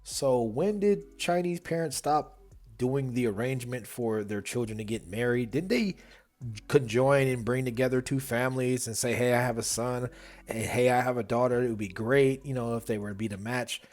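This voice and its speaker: A man's voice: mid-range voice, normal speed, a little mellow, faint music behind. A man hosting a reaction video, giving casual, opinionated commentary that rambles with fillers.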